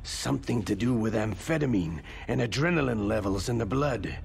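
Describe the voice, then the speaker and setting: An actor playing a cool, pragmatic henchman to a villain in a video game cutscene, measured and matter-of-fact. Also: fairly low pitch, lively intonation, gravelly, a little mellow, a little hiss or hum. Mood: thoughtful. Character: cool, pragmatic Russian gunman, the boss's right-hand man.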